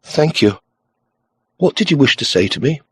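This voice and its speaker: A man's voice: mid-range voice, sing-song, moderate pace, a bit bright, husky, voice pushed up, full character voice. A man voicing a reserved, polite woman on a telephone in an audiobook, speaking with restraint. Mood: subdued. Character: reserved, soft-spoken woman on a telephone, newly widowed.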